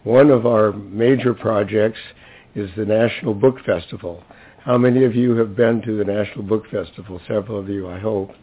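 A hoarse older man with a fairly low voice addresses a public event as its host. He is chatty and lightly humorous in an informal speech. The recording is thin and phone-like. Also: fairly even intonation, normal speed. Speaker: library center director introducing a speaker.

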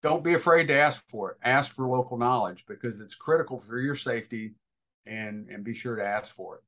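A man's voice: medium pitch, brisk, fairly bright tone. Man giving informal, practical instruction. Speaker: instructor, guest expert on boating radio.

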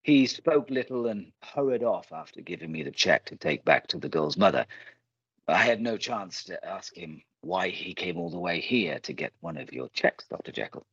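A man playing an earnest, righteous, protective gentleman in a radio play, speaking formally and theatrically. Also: low voice, big pitch swings, normal speed, creaky, husky, no echo, dull audio, heavily acted. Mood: storytelling.

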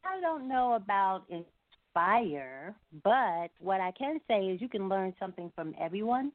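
A woman's voice: mid-range voice, big pitch swings, unhurried, no echo. A woman being interviewed as a singer, answering conversationally and reflectively at some length over a phone call. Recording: narrow-band, phone-like line.

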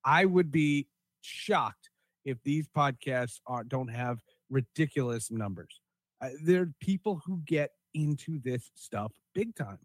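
A man's voice: mid-range voice, animated, unhurried, dry recording. A man hosting a podcast in a casual, chatty style. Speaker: podcast host.

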